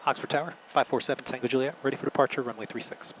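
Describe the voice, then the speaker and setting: A man's voice: medium pitch, fairly even intonation, very fast, highs cut off, very noisy. A man speaking as a pilot in a small aircraft over a headset, mixing casual explanation with clipped radio calls. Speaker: pilot and video host. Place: small aircraft cockpit in flight. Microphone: aviation headset.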